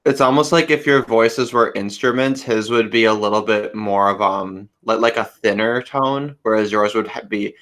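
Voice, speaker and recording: A man co-hosting a casual livestream chat, speaking haltingly as he searches for words. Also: fairly low pitch, fairly bright tone. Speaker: podcast co-host.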